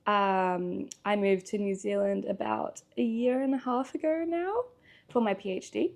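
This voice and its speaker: Creaky-voiced woman. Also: mid-range voice, unhurried. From Australia. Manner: chatty, with frequent ums and laughs. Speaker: guest, PhD student. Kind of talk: casual interview chat.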